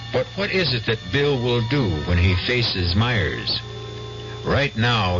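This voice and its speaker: Music plays under a muffled vintage radio broadcast of a man narrating. His lowish voice is deliberate and portentous, slowly posing dramatic questions as the host.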